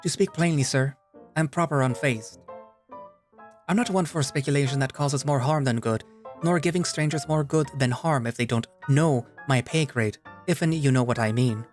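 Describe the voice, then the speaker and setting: A man voicing a brisk, unflappable female squirrel barkeep with a thick Scottish accent, plainspoken and calling people love and lads. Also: medium pitch, faint music behind, pitched above the performer's own voice, lightly acted.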